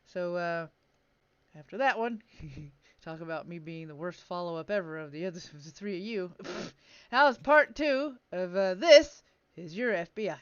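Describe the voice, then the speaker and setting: Clear-voiced woman hosting casually. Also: fairly low pitch, animated, moderate pace, no echo, muffled recording. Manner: wry, jokey asides. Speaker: podcast host. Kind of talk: casual chat.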